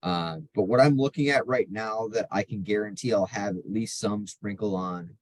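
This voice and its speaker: Lowish-voiced man on a dry mic. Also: moderate pace, no echo, low-quality recording. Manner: emphatic, with frequent ums. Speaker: podcast co-host. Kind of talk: casual betting chat.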